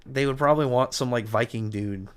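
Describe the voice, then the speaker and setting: A man's voice: medium pitch, brisk, clean tone. A man hosting a livestream, chatting casually with viewers in a rambling, repetitive way full of asides. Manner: rambling, jumps between asides, repeats himself. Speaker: livestream host. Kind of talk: casual chat with viewers, reading comments.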